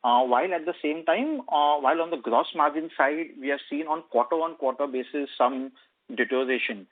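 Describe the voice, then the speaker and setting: A man's voice: on the high side, fairly quick. A man with an Indian accent politely putting questions as an analyst on an earnings call. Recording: narrow telephone conference line.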